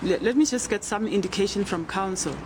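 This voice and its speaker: A woman's voice: mid-range voice, brisk, noisy background. A woman presiding as judge, patient but firm as she questions a witness about her language. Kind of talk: presiding over a trial.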